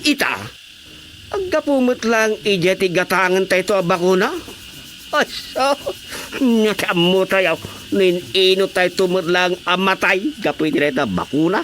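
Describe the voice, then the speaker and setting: A man acting a stubborn, excitable village councilor in a radio comedy drama, scoffing and arguing with an Ilocano Filipino accent. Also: high voice, measured pace, slight background noise. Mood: skeptical.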